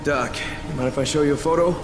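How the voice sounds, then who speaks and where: An actor playing a tough adult operative who talks in blunt, terse, sometimes profane lines. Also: male voice, a little high, steady tone, fast, over music, lightly acted. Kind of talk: video game cutscene acting. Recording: game score behind.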